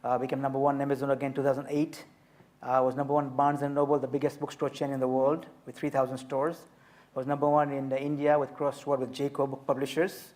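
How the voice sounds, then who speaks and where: A man in his fifties, from Tanzania, telling his story as an author and speaker on a festival panel, measured and emphatic. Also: medium pitch, level tone, a little mellow. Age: 50s.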